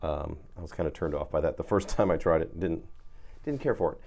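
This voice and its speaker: A man's voice: rumbling low, brisk, creaky, darkish tone. A man hosting a guitar video, talking casually to viewers in an informal, rambling way.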